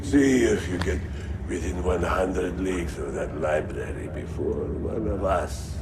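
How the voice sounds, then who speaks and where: A man's voice: low-pitched, measured pace. A man playing a proud, grandiose Byzantine Templar lord in a video game, with an oratorical manner. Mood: weak, dying. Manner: pompous, oratorical, declamatory. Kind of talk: dramatic video game cutscene acting. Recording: game audio with clear background noise.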